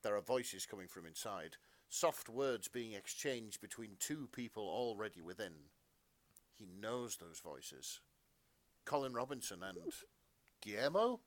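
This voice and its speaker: A man's voice: fairly low pitch, animated, measured pace, dry recording. A man narrating fan fiction as a podcast co-host, in a melodramatic storytelling style.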